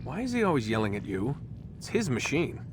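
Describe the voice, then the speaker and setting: An actor playing a blunt, skeptical young captive in a video game, talking casually and questioningly, with noise behind him. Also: male voice, medium pitch, huge pitch swings, a little fast. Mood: irritated.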